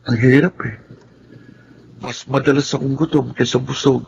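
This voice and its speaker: A man's voice: medium pitch, level tone, normal speed, vocal fry, dull audio, background noise, close to an everyday voice. A man with a Filipino accent acting a polite, timid orphaned teenage boy in a radio drama, earnest and deferential. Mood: sorrowful, fearful.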